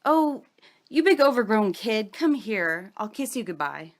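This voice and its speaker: A high-pitched young wife played by a woman in a horror comic melodrama. She is theatrical and intense, a besotted spouse who makes a big show of every word. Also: big pitch swings, measured pace. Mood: softening, smitten. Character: besotted young wife, cold at first, then gushing with adoration.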